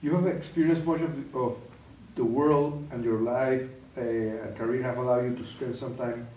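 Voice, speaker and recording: A man with a mellow, warm tone, asking measured, formal interview questions. He is picked up from a distance in a room with noticeable echo. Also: medium pitch, steady tone. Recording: roomy echo, mic at a distance.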